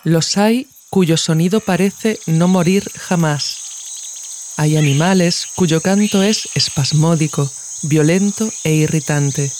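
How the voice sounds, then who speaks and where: Low-voiced woman narrating. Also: takes it slowly, a little mellow, slight background noise. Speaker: podcast host and narrator. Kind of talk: scripted essay narration.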